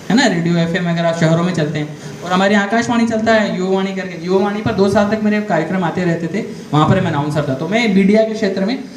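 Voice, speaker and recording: A man giving a motivational career guidance talk to school students, often asking the class questions. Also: sits high. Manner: addresses the audience with frequent direct questions; storytelling, explains step by step. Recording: echoey room, faint background noise.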